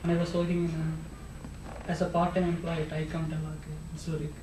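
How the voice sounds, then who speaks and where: A man's voice: a little high, monotone, normal speed. A man from India introducing himself as a master's student in a matter-of-fact way. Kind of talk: self-introduction. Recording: echoey room, distant mic, clear noise.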